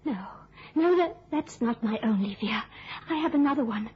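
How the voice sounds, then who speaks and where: An actress playing a fragile, obsessive older spinster in an old-time radio drama, speaking haltingly and repeating herself with anxious fervour. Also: female voice, fairly high pitch, animated, lo-fi sound, slight background noise, close to an everyday voice. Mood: anguished longing.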